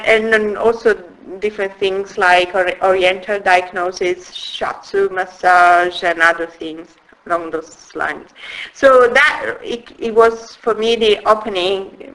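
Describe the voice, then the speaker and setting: A woman in her forties with an Italian accent, telling her life story in a halting way, with restarts and soft laughs. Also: mid-range voice, poor audio. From Italy. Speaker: interviewee. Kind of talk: personal life story in conversation.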